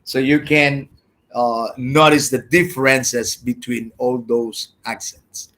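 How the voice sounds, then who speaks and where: A man who speaks Spanish as his first language, teaching an English grammar class online, halting and repeating his words as he explains. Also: medium pitch, flat delivery, a bit bright.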